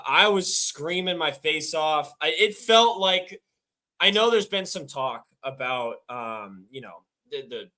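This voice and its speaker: A man hosting a casual podcast, his voice raspy and hoarse, talking in quick, excitable bursts. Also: on the high side. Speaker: podcast host.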